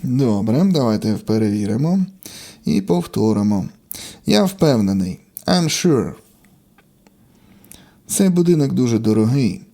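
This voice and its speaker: A man's voice: medium pitch, very animated, unhurried, long pauses, dark tone. A man teaching a language lesson, reading out short, separate example sentences in an instructional way. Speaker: English teacher.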